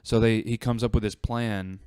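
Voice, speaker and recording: A man's voice: fairly low pitch, steady tone, normal speed, dark tone, clear, resonant tone, no room sound, studio quality. A man talking casually as a panelist on a podcast. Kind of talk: casual chat. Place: podcast studio.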